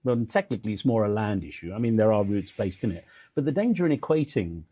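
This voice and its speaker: A man's voice: lowish voice, animated, quick pace, dark tone, breathy edge, dry recording. A middle-aged man hosting an interview, putting polite, hedging challenges with fillers. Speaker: interviewer, host. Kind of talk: probing interview questions.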